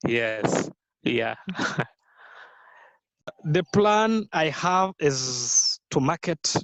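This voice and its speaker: A Rwandan man whose first language is Kinyarwanda, answering interview questions in careful, halting English with many fillers and restarts. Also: medium pitch, no echo, poor audio. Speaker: guest, business founder. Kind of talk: podcast interview.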